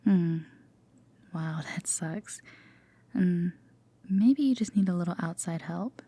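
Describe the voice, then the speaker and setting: A woman playing a gentle, teasing, affectionate girlfriend in an intimate sleep-aid roleplay, with a soft, breathy half-whisper and a tender manner. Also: fairly low pitch, slow pace, dry recording. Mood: caring, hesitant. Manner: hesitant, tender, gently playful.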